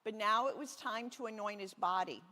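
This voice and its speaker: A woman pastor telling a Bible story to children in a gentle, expressive storytelling way with deliberate emphasis. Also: medium pitch. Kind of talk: children's sermon, reading a Bible story aloud. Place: large reverberant church space. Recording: echoey hall with long reverb.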